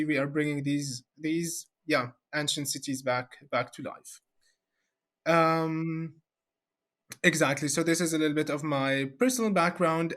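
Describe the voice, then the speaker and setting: A man from Syria, a guest expert in a video interview, talking in a halting way with many fillers and repeated words. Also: a little high, fairly bright tone.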